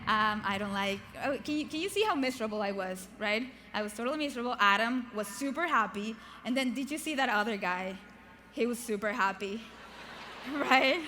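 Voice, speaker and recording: A young woman, not a native English speaker, giving a lively motivational keynote on a large arena stage, chatty and asking the audience questions. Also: a little high, a little fast, a little hiss or hum.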